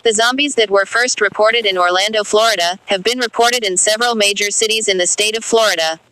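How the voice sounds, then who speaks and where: Woman as a brisk emergency alert announcer. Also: mid-range voice, bright, forceful tone, close to an everyday voice, rough recording. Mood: official, urgent.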